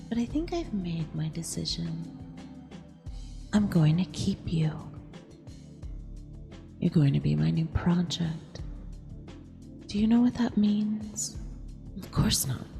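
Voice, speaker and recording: Woman doing a possessive eldritch empress asmr thing, creaky half-whisper, condescending and teasing, big echoing reverb w music. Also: lowish voice, dark tone, full character voice. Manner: slow, deliberate, condescending purr. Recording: long reverb with music underneath.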